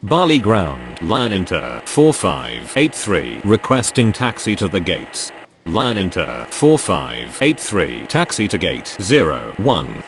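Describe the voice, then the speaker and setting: A man's voice: wildly swooping pitch, slow, gravelly, full character voice. A man playing a formal, terse air traffic radio operator in a game, speaking in clipped scripted phraseology. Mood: formal, businesslike. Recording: very noisy game audio, vehicle rumble.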